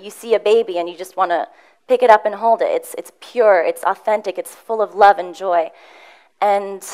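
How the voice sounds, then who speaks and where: A young woman from Switzerland tells her own story on a conference stage. She talks earnestly and with feeling, with a very smooth, clear voice, and she often pauses on ums and restarts as she gathers her thoughts. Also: medium pitch. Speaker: motivational speaker. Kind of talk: conference talk. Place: conference room with audience.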